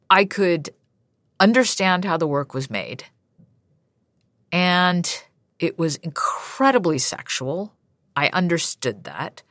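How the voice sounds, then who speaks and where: Slow, low-voiced woman artist from Southern California. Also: fairly bright tone, no room sound, studio quality. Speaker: guest artist. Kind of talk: interview.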